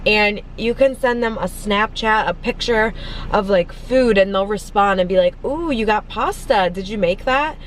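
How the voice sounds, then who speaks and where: Young woman vlogger, car mukbang, casual filler-heavy chat, mid-pitched voice, faint road noise. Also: normal speed. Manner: chatty, filler-heavy, lots of "like". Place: parked car at a drive-through.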